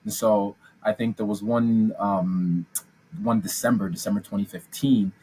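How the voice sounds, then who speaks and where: A man's voice: on the low side, steady tone, unhurried, mellow, slight background noise, rough recording. A man in his twenties from Ghana, telling his story as a podcast guest in a chatty, upbeat way with many ums and restarts. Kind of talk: casual interview storytelling.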